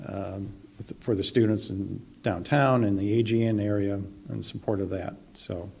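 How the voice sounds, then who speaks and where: A man working as a city planner, answering residents' questions in a measured way with frequent fillers. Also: lowish voice, dark tone, slightly rough.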